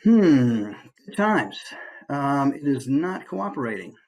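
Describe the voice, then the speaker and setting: A man giving an informal online presentation in an easygoing, conversational way, with hesitant pauses as he goes. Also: medium pitch, very animated, darkish tone, poor audio. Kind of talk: informal online talk with slides. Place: online video call.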